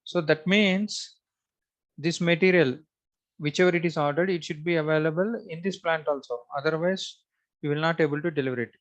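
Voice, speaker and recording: Halting male software trainer, thinking aloud. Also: on the high side. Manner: halting, repetitive, instructional. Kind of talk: step-by-step screen-share tutorial, thinking aloud.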